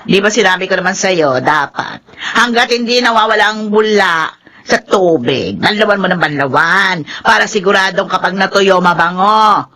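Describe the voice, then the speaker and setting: A woman acting a bossy, nagging old Filipina mother-in-law and grandmother in a radio drama, full of drawn-out exclamations. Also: mid-range voice, bright, forceful tone, close to an everyday voice. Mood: scolding, nagging.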